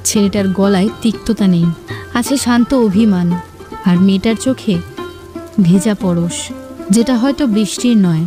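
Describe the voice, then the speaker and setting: A woman's voice: mid-range voice, a little slow, long pauses. Female narrator, mid-range voice, scripted storytelling, sentimental phrasing, prominent music bed. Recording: music clearly behind the voice.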